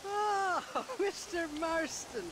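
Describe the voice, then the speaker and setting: A man's voice: very high-pitched, expressive, extremely slow, noisy background, full character voice. An actor playing a drunken, evasive, sentimental Irish man in a video game, slurring and rambling. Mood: drunkenly friendly. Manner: rambling, muddled, wheedling. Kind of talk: video game cutscene acting.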